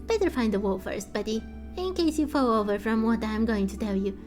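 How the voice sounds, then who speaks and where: Woman playing a cocky, theatrical, high-voiced thief. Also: fairly quick, a little mellow, faint music behind, natural voice. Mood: conspiratorial. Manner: boastful, conspiratorial. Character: cocky, theatrical self-styled master thief. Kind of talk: fan voice-over of game dialogue.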